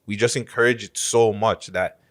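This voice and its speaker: A man's voice: on the low side, steady tone, normal speed, fairly bright tone, dry recording, clean studio sound. A man on a podcast panel, debating casually in slangy, conversational speech.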